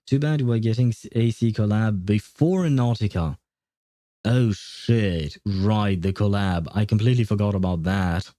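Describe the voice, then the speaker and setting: A man's voice: lowish voice, unhurried, mellow, no echo, clean studio sound. A man chatting casually as a game livestreamer, rambling and hesitant as he thinks aloud.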